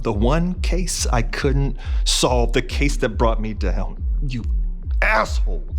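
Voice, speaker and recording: An actor playing a bitter, hardboiled male detective who blusters melodramatically in a radio play. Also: medium pitch. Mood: ranting.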